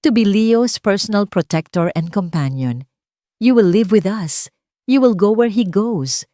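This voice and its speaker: A woman's voice: mid-range voice, lively intonation, unhurried, dry recording, clean studio sound, pitched above the performer's own voice, natural voice. A woman voicing a cold, commanding billionaire father in an audiobook, speaking curtly and directly. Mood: firm, direct. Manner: direct, quietly threatening, no shouting.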